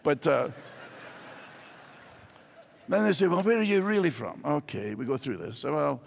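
Older Scottish pastor, gravelly voice. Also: male voice, fairly high pitch, moderate pace. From Scotland. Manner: expressive preaching with wide gestures. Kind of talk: sermon. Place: large church stage. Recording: hall reverb in a large church.

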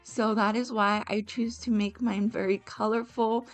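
A woman's voice: medium pitch, little pitch movement, measured pace. A young woman narrating a home cooking and decorating video in a friendly, chatty voice-over, speaking directly to her viewers. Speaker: home vlogger. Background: soft background music.

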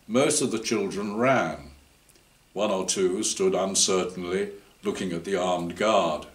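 Slow, low older Englishman reading a story. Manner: measured, deliberate. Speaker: author reading his short story. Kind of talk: scripted story narration. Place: indoor room before a banner. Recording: roomy indoor echo.

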